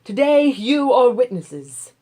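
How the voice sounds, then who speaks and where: A woman's voice: on the high side, expressive, takes it slowly, mellow, pitched above the performer's own voice. A woman voicing an earnest, decisive male landowner in a Bible storybook, speaking formally and declaratively. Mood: proclaiming.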